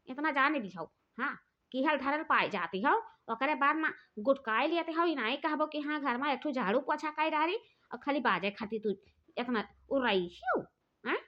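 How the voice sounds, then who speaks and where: A woman voicing a bossy, quarrelsome elder sister-in-law, with an Awadhi accent, scolding and taunting. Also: sits high. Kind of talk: cartoon voice acting. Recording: dull lo-fi recording.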